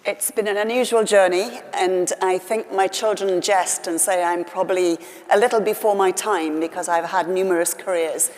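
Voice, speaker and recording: Middle-aged woman, low and reflective. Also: steady tone, normal speed, few pauses, darkish tone. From West Wales. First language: Welsh. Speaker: award honoree. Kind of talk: on-stage conversation. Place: large conference hall. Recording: reverberant conference hall.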